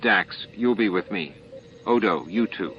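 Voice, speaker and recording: Calm, commanding space captain read by a male narrator, low voice, muffled audio. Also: measured pace, slight background noise. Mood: calm, quiet. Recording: muffled, band-limited tape audio.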